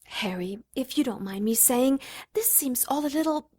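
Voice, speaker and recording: A woman's voice: sits high, expressive, normal speed, dark tone, husky. An actress playing a doubtful, polite mother in an audio drama, speaking with hesitant skepticism.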